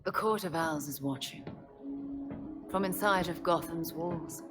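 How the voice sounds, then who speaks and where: An actress playing a cool, menacing woman who leads assassins, speaking in a measured, haughty, formal way with breathy near-whispers. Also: lowish voice, lightly acted. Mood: ominous. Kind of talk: dramatic video game cutscene acting. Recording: music behind the voice.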